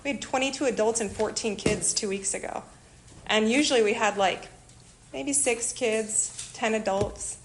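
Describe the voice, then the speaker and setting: A woman from Illinois with a Chicago accent, giving a chatty, animated church talk to a group about a missionary kids program. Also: fairly high pitch, normal speed, a little hiss or hum, rough recording. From Illinois, USA. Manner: chatty, animated, self-deprecating asides. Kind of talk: informal church presentation to a group.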